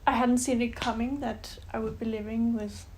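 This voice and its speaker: A woman's voice: a little high, level tone, moderate pace, a little mellow, slight background noise. A twenty-eight-year-old Danish woman with a Danish accent, speaking English as a second language in a casual chat to camera, hesitant with ums and uhs. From Denmark. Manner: hesitant, fillers like um and uh, laughs between phrases. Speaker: vlog roommate.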